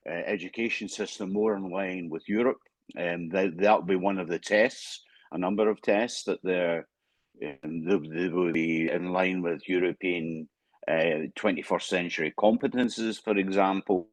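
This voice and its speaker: A Scottish man speaking as a panelist, pausing often with fillers and restarting his sentences. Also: sits low, no room sound. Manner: halting, frequent fillers and restarts. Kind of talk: conference panel remarks.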